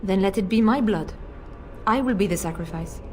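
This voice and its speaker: A woman's voice: mid-range voice, moderate pace, slight background noise, natural voice. A woman voicing a noble lady in a video game, a desperate mother who pleads, rages and resolves to protect her son. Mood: resolute. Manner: pleading, emotional, shifts to grave resolve. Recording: game score behind.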